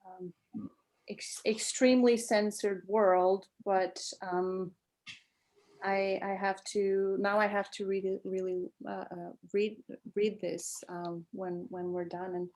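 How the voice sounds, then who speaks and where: A woman's voice: mid-range voice, little pitch movement, takes it slowly, a little mellow. Hesitant woman from Portugal on a call. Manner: hesitant, many ums and restarts. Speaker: guest. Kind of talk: casual video-call chat. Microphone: video call.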